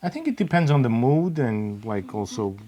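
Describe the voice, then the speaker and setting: A middle-aged man hosting a casual Q&A, reading viewer questions aloud in a plain, easygoing way with frequent pauses. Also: medium pitch, big pitch swings, dark tone. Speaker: host, father of the bassist.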